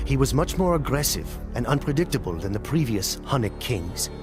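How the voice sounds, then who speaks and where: A man playing a solemn chronicler in a game cutscene, telling a tale of conquest in a grave, measured, dramatic storytelling style. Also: medium pitch, very animated, natural voice. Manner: grave, measured, deliberate pauses. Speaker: narrator of a game campaign cutscene. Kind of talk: dramatic scripted storytelling narration. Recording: music behind the voice. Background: music.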